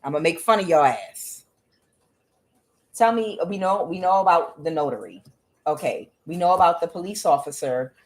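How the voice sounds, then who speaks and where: A woman doing a tarot reading on her own. She talks bluntly and swears often, questions the cards aloud, and fills her pauses with "uh". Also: on the low side, animated. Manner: blunt and profane, with frequent "uh" fillers. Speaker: tarot reader. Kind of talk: solo tarot reading to camera.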